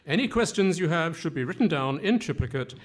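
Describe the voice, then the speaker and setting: A man's voice: a little high, lively intonation, fairly quick. A man playing a pompous, formal and nonsensical official in a comic radio play before a live audience. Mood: absurdly officious.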